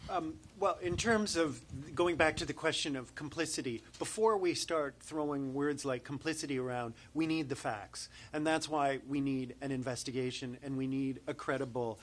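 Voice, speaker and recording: A man answering reporters at a press conference, emphatic and halting with frequent fillers. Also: medium pitch, a little hiss or hum. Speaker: human rights advocate at press conference. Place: press briefing room.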